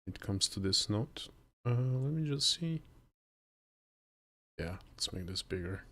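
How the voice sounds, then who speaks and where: Man, late thirties, from Croatia, lowish voice, slow-paced, casual livestream chat, close desk mic. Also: expressive, a bit bright. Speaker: livestreamer learning game development. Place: indoor room at a desk. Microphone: close desk microphone on an arm.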